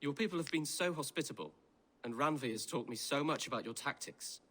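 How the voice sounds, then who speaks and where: A courteous young nobleman in a Viking game. His forceful, bright voice is earnest and formal, ringing out with a long reverb. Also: mid-range voice, lively intonation, a little fast. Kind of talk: video game character acting.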